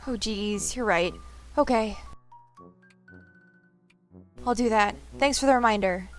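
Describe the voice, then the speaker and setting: A woman's voice: mid-range voice, takes it slowly, faint music behind, close to an everyday voice. A woman voicing a sardonic young girl adventurer, narrating and talking in a game fan dub with wry, casual asides. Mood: casual, concerned. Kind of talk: fandub game narration and dialogue.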